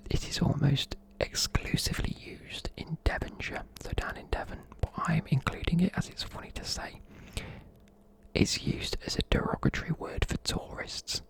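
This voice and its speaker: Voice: mid-range voice, very animated, moderate pace, vocal fry, low-quality recording. A person with a British accent whispering informal, chatty, matey explanations in an ASMR style. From United Kingdom. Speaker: presenter explaining slang.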